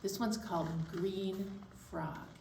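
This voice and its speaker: A woman leading a children's storytime as a librarian, speaking in a gentle, slow, encouraging way to young children. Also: medium pitch, vocal fry, echoey room, far from the mic, slight background noise. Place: library room.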